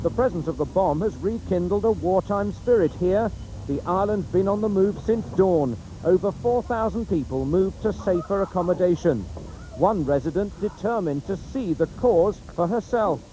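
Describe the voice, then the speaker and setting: A man's voice: high-pitched, expressive, normal speed, dark tone, slight background noise, low-quality recording. A man with a British accent narrating a scripted television news report.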